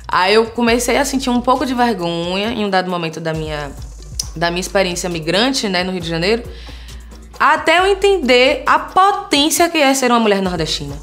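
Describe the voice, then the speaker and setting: A woman in her thirties from Salvador with a Bahian Portuguese accent, talking earnestly and conversationally to her viewers, often checking in with them. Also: a little high. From Salvador, Bahia, Brazil. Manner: warm, earnest, conversational, frequent tag questions. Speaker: vlogger, content creator. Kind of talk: personal vlog talk to viewers.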